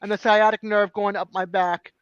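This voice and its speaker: A woman's voice: mid-range voice, level tone, moderate pace, smooth, no room sound, lo-fi sound. A woman in her fifties joking animatedly in a casual group chat about her aches. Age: 50s. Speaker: chat participant.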